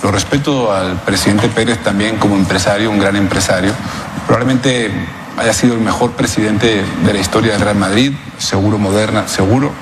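A man answering questions in an interview clip, hesitant and halting, with many fillers. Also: lowish voice, fairly even intonation, background noise. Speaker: interviewed guest, possible club presidential candidate. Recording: roomy clip with background ambience.

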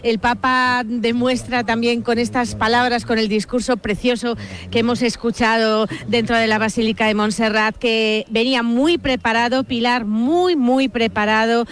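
A woman working as a radio field reporter, speaking live on location, often pausing and repeating phrases. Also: on the high side, steady tone. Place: outdoors among a crowd.

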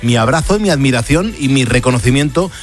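A man's voice: mid-range voice, lively intonation, a little fast, soft background music. A man with an accent from Spain hosting a radio show, reading listener messages in an effusive, affectionate manner. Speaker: radio host.

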